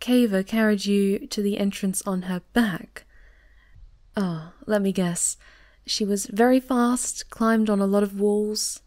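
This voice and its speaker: A woman playing a sweet, affectionate, polite moth monster girl in an intimate ASMR roleplay, gentle and often dropping toward a whisper. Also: mid-range voice, natural voice. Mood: playful, curious. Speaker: voice actor in an audio roleplay.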